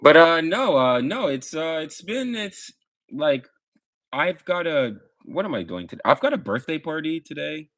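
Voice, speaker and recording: A man's voice: on the high side, big pitch swings, moderate pace, fairly bright tone, no room sound. Rambling young Midwestern American man. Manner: rambling, laid-back, lots of fillers. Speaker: livestreamer. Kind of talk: casual live stream chat to chat viewers.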